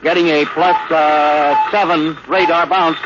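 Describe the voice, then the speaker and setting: An actor playing a steady, fatherly veteran spaceship captain in a 1950s radio drama style. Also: on the high side, a little slow, close to an everyday voice. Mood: tense. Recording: dull, very noisy old radio recording.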